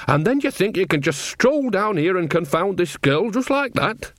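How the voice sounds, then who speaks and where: A man voicing an earthy old woman witch in a storybook, colloquial, brisk and motherly in her scolding. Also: high voice, sing-song, dry recording, clean studio sound, voice pushed up. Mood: concerned.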